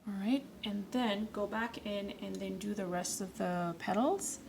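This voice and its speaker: A woman with a raspy voice today, giving loose, rambling, self-correcting instructions in a casual watercolour tutorial. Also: mid-range voice, unhurried, noisy background. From Canada. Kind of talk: casual painting tutorial voice-over.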